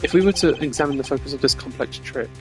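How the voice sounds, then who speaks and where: Guy doing a stiff formal game prosecutor, measured and declamatory, mid pitch, music behind. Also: natural voice. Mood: certain, reasoning. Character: composed, formal male prosecutor hero of a courtroom game.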